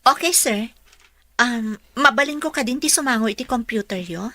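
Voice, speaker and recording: A woman's voice: a little high, lively intonation, moderate pace, bright, forceful tone, no room sound. A woman with a Filipino accent playing an eager, polite young graduate in a radio drama. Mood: earnest, respectful. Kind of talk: Ilocano radio drama acting.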